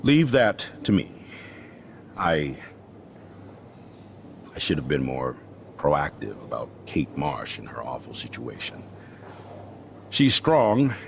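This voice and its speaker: An actor playing a jovial, slightly self-important middle-aged school principal in a video game, speaking in an avuncular, slightly pompous way. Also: male voice, slight background noise, exaggerated voice. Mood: earnest, regretful. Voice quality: very deep, gravelly.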